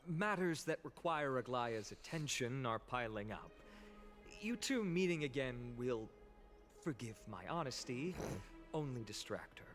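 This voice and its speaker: An actor playing a kind, earnest young male hero in a game, speaking calmly and reassuringly. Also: mid-range voice, unhurried, faint music behind. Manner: calm, reassuring.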